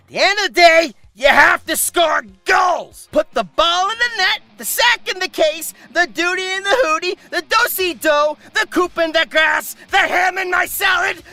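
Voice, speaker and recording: High-pitched, lively man doing comedic commentary. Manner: irreverent, theatrical, shouty emphasis, sweary. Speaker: host and narrator of a comedic manga video.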